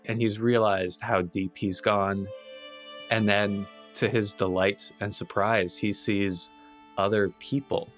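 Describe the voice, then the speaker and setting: A man's voice: on the low side, little pitch movement, slow pace, a bit bright. A man playing a tabletop game, improvising in casual, rambling talk peppered with "like". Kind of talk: casual improvised roleplay chat. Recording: music playing behind the voice. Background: clear background music.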